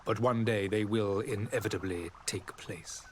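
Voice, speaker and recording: An actor playing a dignified, courteous diplomat in a role-playing game, speaking formally and eloquently. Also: male voice, fairly low pitch, steady tone, normal speed, darkish tone, a little hiss or hum, natural voice. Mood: weary, resolute. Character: dignified male diplomat of a foreign alliance, proud and courteous.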